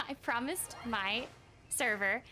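An excitable, giggly young woman chatting casually on a live game stream, often repeating her phrases, close on a desk microphone. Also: fairly high pitch, extremely slow. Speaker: streamer and voice actress. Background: game music.

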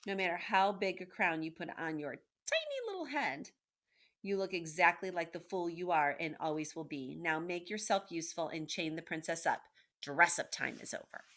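A woman's voice: fairly low pitch, sing-song, moderate pace, fairly bright tone. A woman voicing a haughty, cruel male rat villain from a storybook, with formal, sneering speech. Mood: scornful. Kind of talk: storybook read-aloud character voice.

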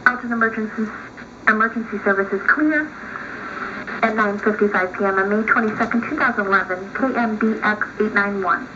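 A woman's voice: mid-range voice, fairly quick. Lo-fi, noisy female alert announcer. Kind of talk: scripted emergency alert announcement. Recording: noisy, dull lo-fi radio-like broadcast.

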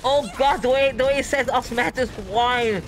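A man's voice: very high-pitched, steady tone, normal speed, a little hiss or hum. A man with a Brooklyn accent giving casual, joking commentary while playing a game on a live stream. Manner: chatty, joking. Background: game music.

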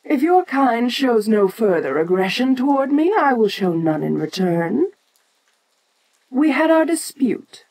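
An ancient kaiju giantess played by a woman speaks straight to the listener in an audio roleplay. She is imperious and condescending, taking her time over each phrase while her pitch swoops with lively, theatrical expression. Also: measured pace, heavily acted, poor audio. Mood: lofty, measured. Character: ancient giant kaiju queen, haughty, domineering female monster.

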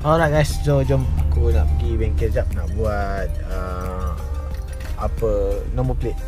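A young Malaysian man chatting casually to his viewers in a relaxed vlog, filming himself with a handheld action camera in his car and around a shop. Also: lowish voice, unhurried, over loud music. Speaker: vlogger.

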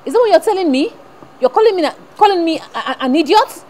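A woman plays a worn-out mother who keeps the peace between her son and his father. Her high voice leaps up and down as she scolds, then coaxes him. Her words hop between languages. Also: lively intonation, fairly quick, darkish tone, slightly rough. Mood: indignant. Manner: code-switching, scolding and coaxing. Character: worried middle-aged mother mediating at home. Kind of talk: emotional family drama acting.

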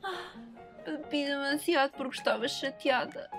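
Woman acting high-voiced scheming anime flirt. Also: normal speed, over music. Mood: tipsy, whiny. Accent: European Portuguese. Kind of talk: anime fan dub acting.

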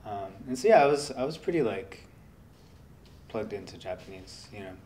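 A man in his thirties talking about his life in a relaxed interview, soft-spoken and rambling with lots of fillers. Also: lowish voice, moderate pace. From United States. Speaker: interviewee, guitarist. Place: indoor workshop studio.

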